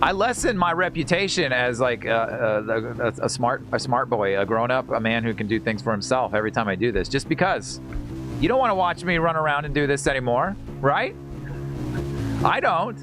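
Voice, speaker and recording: A man's voice: mid-range voice, animated, moderate pace. A man chatting casually as he hosts a game livestream, rambling and stammering with repeated words and slangy, salty language. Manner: informal, profane and slangy. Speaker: livestream host playing a video game. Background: game music.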